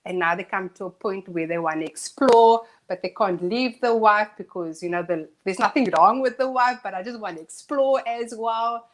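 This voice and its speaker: A woman co-hosting a live talk show who talks earnestly and thinks out loud. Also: medium pitch, normal speed, hardly any pauses. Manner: earnest, reflective, thinks aloud. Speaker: co-host. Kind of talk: casual discussion on a live show.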